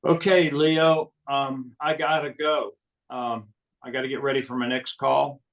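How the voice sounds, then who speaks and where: A man's voice: mid-range voice, little pitch movement, a little slow. A man chatting casually as an interviewer in a conversation. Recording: distant from the microphone.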